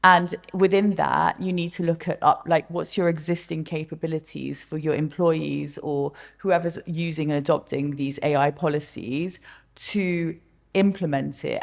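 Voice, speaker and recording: A woman from the United Kingdom speaking as a panelist, brisk and hedging with "um" and "like". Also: low-pitched, steady tone, gravelly. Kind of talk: panel discussion.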